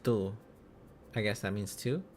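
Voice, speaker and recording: A man chatting casually as a livestream host, musing aloud in halting, repeated phrases. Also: lowish voice, a little hiss or hum. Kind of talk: casual livestream chat while learning a language.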